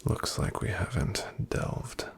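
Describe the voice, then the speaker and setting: A man in an ASMR roleplay voicing a calm, careful paladin travel companion, softly whispering to the listener. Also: slow, close to an everyday voice. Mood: calm hushed. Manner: soft, gentle whispering to the listener.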